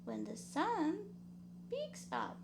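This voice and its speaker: A woman's voice: high voice, wildly swooping pitch, takes it slowly, vocal fry, noisy background. A woman reading a children's story aloud in a rhythmic, dramatic sing-song way. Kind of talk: expressive children's read-aloud.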